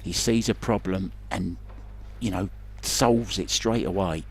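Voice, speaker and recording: A man's voice: low voice, a little slow, slightly rough. A man doing chatty, self-deprecating live pool commentary in a conversational way. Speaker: sports commentator. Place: remote commentary from his own location.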